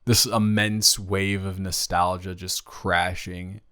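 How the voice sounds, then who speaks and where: A man's voice: deep voice, flat delivery, takes it slowly, sharp tone, clear, resonant tone, heavily acted. A man playing a nervous, smitten young college athlete reunited with a childhood friend, rambling and stammering earnestly in an improvised romantic audio roleplay.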